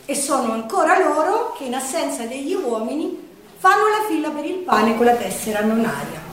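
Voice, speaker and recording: A woman's voice: high-pitched, sing-song, moderate pace, a bit bright, slight background noise. A woman performing a theatrical narration in a declamatory way. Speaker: stage performer. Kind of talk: theatrical storytelling on the wartime Resistance. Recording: very echoey stage, distant mic.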